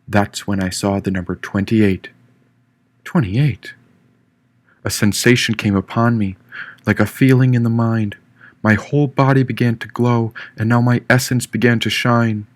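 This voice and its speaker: A man reading first-person fiction as an audiobook narrator, in an expressive, dramatic storytelling manner. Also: fairly low pitch, measured pace. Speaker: author reading own audiobook. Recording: echoey room, distant mic.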